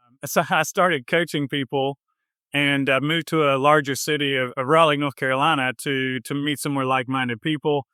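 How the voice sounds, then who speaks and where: A man with a Southern US accent telling his personal story in a casual interview, informal and a little halting in his phrasing. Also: medium pitch, steady tone, sharp tone, clear, resonant tone, no echo, clean studio sound. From southern United States. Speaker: health coach, podcast guest.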